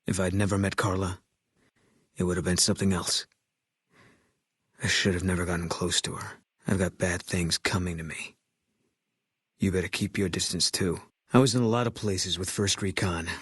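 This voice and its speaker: Husky, grim ex-military sniper. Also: male voice, a bit bright, dry recording, clean studio sound. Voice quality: low, husky. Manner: terse, stoic, fatalistic delivery. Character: grim, haunted, terse male ex-military sniper. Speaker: video game companion character. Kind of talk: video game dialogue.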